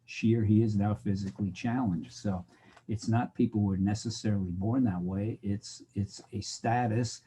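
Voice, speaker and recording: A man's voice: on the low side, fairly even intonation, normal speed, mellow, slight background noise. An older American man giving a recorded sociology lecture, talking in a loose, conversational way with digressions, and acting out the exchanges he quotes. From United States. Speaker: sociology instructor.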